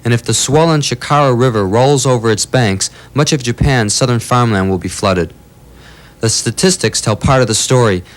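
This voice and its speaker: Male correspondent, scripted report, solemn and measured. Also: medium pitch. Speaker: news correspondent.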